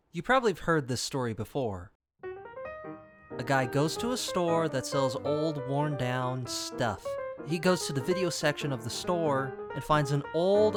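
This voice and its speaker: Young male video essay host, fairly high animated voice, wry casual asides, music bed underneath. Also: moderate pace. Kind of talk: scripted narration with casual asides.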